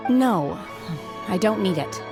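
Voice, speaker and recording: A woman's voice: medium pitch, animated, slow, over music. An actress playing an aloof, steely young lady in a video game, speaking in a cool, curt, restrained manner. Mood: cold, firm.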